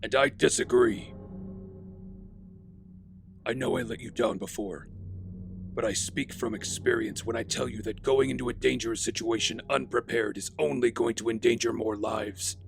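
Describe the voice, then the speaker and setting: A man's voice: mid-range voice, steady tone, moderate pace, gravelly, slight background noise, lightly acted. A man playing an earnest, brooding vigilante in an audio drama, grave and determined.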